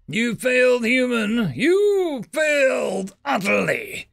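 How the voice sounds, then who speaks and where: A man voicing a gloating tentacled male alien commander who shouts his taunts slowly and shrilly. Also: very high voice, big pitch swings, creaky, pitched above the performer's own voice, heavily acted.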